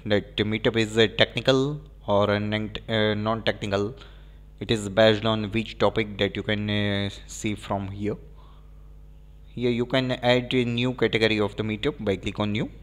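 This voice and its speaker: A man with an Indian English accent giving a screen-recorded software walkthrough, pausing often with fillers. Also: on the low side. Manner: hesitant, frequent filler pauses. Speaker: software demo presenter.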